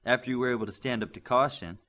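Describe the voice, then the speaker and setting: A man voicing a commanding adult male superhero team leader who talks firmly, briskly and with authority. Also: medium pitch, fairly bright tone, close to an everyday voice.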